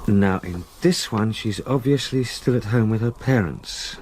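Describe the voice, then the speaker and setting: An actor playing an uneasy, educated solicitor in a gothic radio drama, with measured, articulate, old-fashioned speech. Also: male voice, on the low side, noisy background, natural voice. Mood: musing, absorbed. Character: uneasy, educated male solicitor recounting a haunting.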